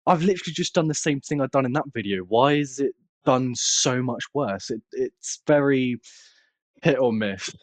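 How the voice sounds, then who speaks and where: A man with a British accent chatting casually as an interview guest, plain-spoken, halting and self-deprecating. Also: mid-range voice, animated, dry recording. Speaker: interview guest, content creator. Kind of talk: casual chat.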